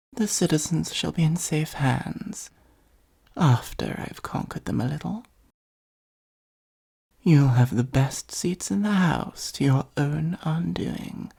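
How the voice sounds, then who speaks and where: A performer voicing a theatrical supervillain in an ASMR roleplay, with a soft breathy delivery, pompous and sardonic. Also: mid-range voice. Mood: gloating, pompous. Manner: pompous monologuing, sardonic exasperated asides. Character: theatrical gloating supervillain, secretly fond of the hero.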